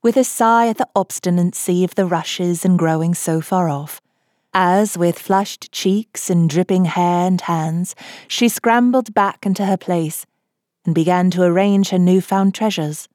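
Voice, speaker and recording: Female storybook reader, lowish and mellow, taking her time. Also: measured pace, no room sound, studio quality. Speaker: audiobook reader. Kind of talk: gentle storybook narration.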